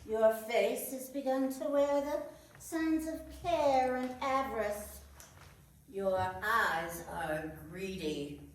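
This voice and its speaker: Woman as a slow, solemn ghostly spirit, very echoey. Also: on the high side. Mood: solemn, accusing. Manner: solemn, deliberate, commanding. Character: solemn, stern ghostly spirit showing shadows of the past. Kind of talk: radio play acting. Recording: very echoey room, far from the mic.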